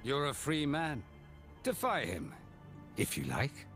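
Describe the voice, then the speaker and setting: An actor playing a calm, commanding peacekeeper of a camp in a fantasy game, speaking in a measured, firm way. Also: male voice, medium pitch, creaky, faint music behind, full character voice.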